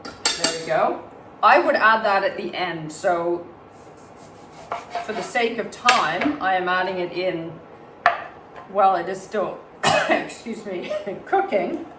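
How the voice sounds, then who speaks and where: A woman with a slight cold and a hoarse voice gives a calm cooking demonstration in her home kitchen, explaining each step and why it is healthy. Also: medium pitch, slow, long pauses. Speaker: cooking presenter. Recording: roomy, distant mic, faint background hum.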